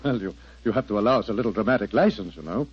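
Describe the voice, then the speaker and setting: Husky, quick-talking theatrical knight. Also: male voice, fairly low pitch, lively intonation. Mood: genial. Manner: bluff, gallant, insistent. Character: hearty, self-assured theatrical knight, a stage actor. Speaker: radio drama actor. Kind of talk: 1940s radio acting. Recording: dull 1940s radio recording.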